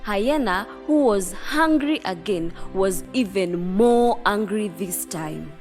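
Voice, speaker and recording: Female narrator for children's fairy tales, mid-pitched, scripted storybook read over light music. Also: expressive, slow, darkish tone. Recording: music under the voice.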